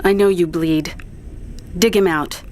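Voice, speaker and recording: A woman's voice: lowish voice, steady tone, measured pace, darkish tone, a little hiss or hum, natural voice. A woman playing an earnest, commanding resistance spokesperson in a science fiction audio drama. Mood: awed.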